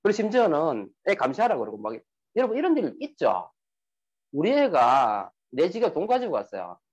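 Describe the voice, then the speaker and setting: Lively husky man lecturing. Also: a little high, brisk. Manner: lively, rhetorical questions, acts out quoted dialogue. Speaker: speaker giving a talk on sales and emotions. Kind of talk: lecture to an audience. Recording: dull lo-fi recording.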